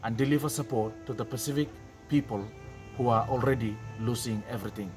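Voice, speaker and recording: A man's voice: medium pitch, a little fast, a little mellow. A young man from Vanuatu, a climate activist, making emphatic demands. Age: young adult. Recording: music underneath.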